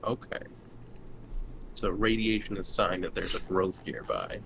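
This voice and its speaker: A man with a fairly low voice takes part in a tabletop roleplaying game as a player. His delivery is casual and improvised, like chat among friends. The recording carries clear background noise. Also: sing-song, unhurried, fairly bright tone. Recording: clearly noisy recording with room ambience.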